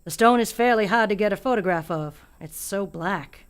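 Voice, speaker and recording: An actress as an older country woman in a radio play, with a mid-pitched voice. She is fearful yet stubborn, telling what she knows in a grave, deliberate way. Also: clean tone, lightly acted. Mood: uneasy, resolute. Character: fearful, stubborn old rural woman guarding alien secrets.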